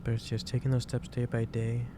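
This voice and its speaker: A man playing a gentle, caring office boss in an ASMR roleplay, speaking in a halting, reassuring way. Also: medium pitch, natural voice. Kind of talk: improvised ASMR roleplay, one-sided conversation. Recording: steady loud background noise.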